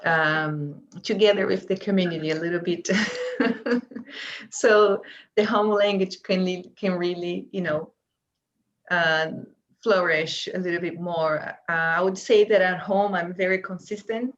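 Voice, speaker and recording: A woman's voice: lowish voice, measured pace. A woman speaking hesitantly on a video call as a parent, with many fillers. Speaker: participant parent. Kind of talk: casual video call chat. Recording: muffled lo-fi call audio.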